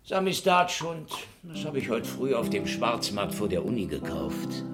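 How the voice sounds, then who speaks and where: An actor in a German radio drama playing a world-weary, sardonic police investigator who speaks and narrates with dry, insolent irony. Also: male voice, mid-range voice, sing-song, a little slow, over loud music. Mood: dry, casual. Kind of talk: German radio drama acting with hard-boiled first-person narration.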